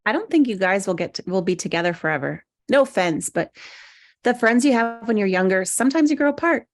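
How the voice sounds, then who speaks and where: A woman's voice: medium pitch, animated, brisk, no room sound, lightly acted. A woman playing a bitter, awkward, sarcastic single woman down on her luck at a comedy table read. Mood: petty, combative.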